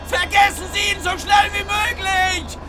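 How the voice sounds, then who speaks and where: An actor playing a frantic man fleeing a disaster in a radio play, shouting warnings in a strained voice. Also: extremely high pitch, level tone, measured pace, a loud crowd, very noisy. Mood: panicked, urgent.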